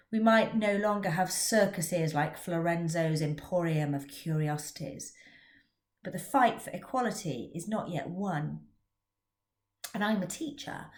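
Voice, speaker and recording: A middle-aged woman, an author and teacher from England, giving a prepared talk in an expressive storytelling manner. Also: medium pitch, reverberant. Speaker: children's author. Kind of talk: scripted talk about her book.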